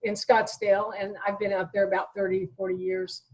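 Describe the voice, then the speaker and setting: A woman's voice: mid-range voice, flat delivery, a little fast, darkish tone, rough recording. An American woman in her sixties talking conversationally as an interview guest, telling her own story. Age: 60s.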